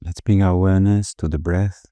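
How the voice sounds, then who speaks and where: A man with a very deep voice guides a meditation gently and slowly. His tone stays very even, with little rise or fall, and he leaves soothing pauses between phrases. The sound is dry and close. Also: no room sound.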